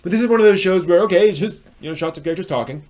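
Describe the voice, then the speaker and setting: Male live-stream reviewer, slightly high voice, halting and self-correcting, unscripted delivery. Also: a little mellow. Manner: halting, hedging, repeats and rephrases phrases; frequent throat clearing.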